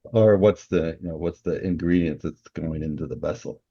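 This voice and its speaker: Very deep, gravelly man in reflective conversation. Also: moderate pace, dark tone, dull audio. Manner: reflective, reasoning aloud. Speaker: guest author. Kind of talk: interview conversation.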